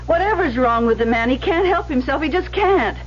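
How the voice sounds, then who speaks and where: An anxious, high-voiced receptionist. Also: female voice, expressive, brisk. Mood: pleading. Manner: hesitant, pleading. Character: anxious, soft-hearted receptionist pleading with her crooked boyfriend. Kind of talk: old-time radio drama acting. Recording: muffled, hissy old radio broadcast recording.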